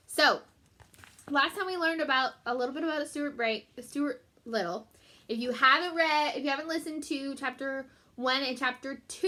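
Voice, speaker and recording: Lady teacher doing a kids book read aloud, voice a bit high, expressive, talks right to the kids. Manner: expressive, addresses young listeners directly. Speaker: teacher reading aloud to pupils. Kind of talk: children's book read-aloud with short explanatory asides.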